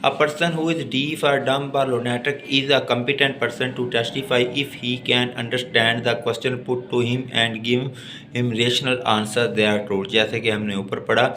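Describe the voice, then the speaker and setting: A man with a Pakistani accent delivers a law lesson at a slow pace. His voice sits at a medium pitch, and he reads formal text deliberately, then explains it in the way of a lecturer. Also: little pitch movement, sharp tone, slight background noise, low-quality recording. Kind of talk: lesson, reading English legal text aloud and explaining it.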